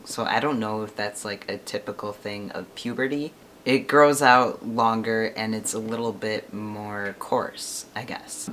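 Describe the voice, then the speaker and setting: A man's voice: fairly low pitch, sharp tone, a little hiss or hum. A man in his twenties chatting casually to his camera, rambling and laughing often. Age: 20s. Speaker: vlogger.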